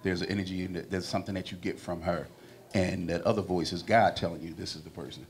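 A man's voice: deep voice, steady tone, fast talker, crowd noise. A man on a panel, earnest and building long lists as he talks, on a handheld mic. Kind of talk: casual panel interview.

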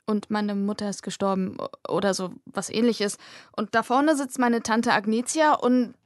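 A woman's voice: mid-range voice, steady tone, normal speed, no echo, studio quality, natural voice. Woman voicing a brash fourteen-year-old girl. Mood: defiant.

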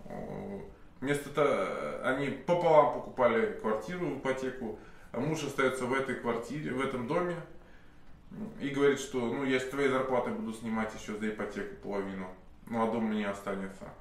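A man in his thirties with a slight cold, telling a long story to camera, full of pauses and restarts. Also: medium pitch. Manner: rambling, many hesitation sounds and restarts. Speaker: self-styled sorcerer telling a client's story. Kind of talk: unscripted monologue to camera. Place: indoor office room with candles. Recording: very echoey room, faint hiss.

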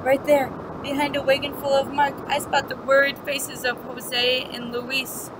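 A young woman with a high voice reads a children's story aloud in a teacherly way, using simple phrasing for little ones. The recording has clear background noise, picked up by a webcam mic. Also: monotone, a little slow. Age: young adult. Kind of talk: picture-book read-aloud with asides to children. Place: indoor classroom, seated.

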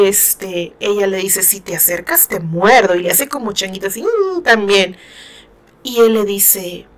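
A woman with a northern Mexican accent chatting casually about a novel she is reading, giggling and sighing as she comments. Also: medium pitch. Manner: giggly and expressive, sighing often. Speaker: book reaction host.

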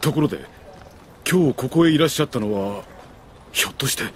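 A man playing a humble, devoted retainer in an anime audio drama, earnest and deeply deferential. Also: expressive, a little fast, a little hiss or hum, close to an everyday voice. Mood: respectful joy. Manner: deferential, formal.

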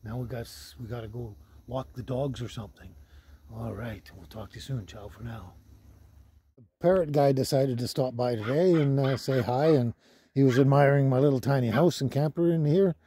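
Older male vlogger, mid-pitched, hesitant and casual, noisy handheld outdoor recording. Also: normal speed, mellow. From Canada. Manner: hesitant, rambling delivery. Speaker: vlogger, host. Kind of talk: casual unscripted vlog talk. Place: outdoors at a forest campsite and inside a vehicle canopy. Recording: clear background noise, handheld outdoor audio.

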